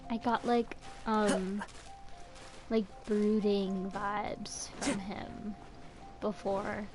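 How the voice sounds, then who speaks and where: A woman's voice: mid-range voice, flat delivery, extremely slow. A woman giving casual gameplay commentary as a streamer, thinking aloud hesitantly and trailing off. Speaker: game streamer. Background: faint game music.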